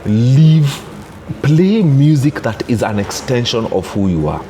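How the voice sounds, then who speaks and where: A man's voice: mid-range voice, lively intonation, unhurried, mellow, slight background noise. Emphatic Kenyan man in his thirties. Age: 30s. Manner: emphatic, repeats "unanishika?" to check understanding. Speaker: interview guest, gospel DJ. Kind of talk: casual interview, mixing English and Swahili.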